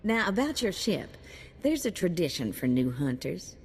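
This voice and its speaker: An actress playing a brash, streetwise bounty hunter's handler in a video game, folksy and cheeky. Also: female voice, fairly low pitch, big pitch swings, moderate pace, a little mellow, husky, heavily acted. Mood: brisk, wry. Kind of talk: scripted game character acting. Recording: long hall reverb.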